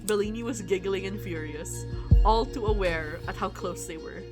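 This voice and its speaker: A woman's voice: mid-range voice, normal speed. A woman livestreaming a visual novel, reading it aloud and reacting in a giddy, exclamatory, dramatic way. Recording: music behind the voice. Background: game background music.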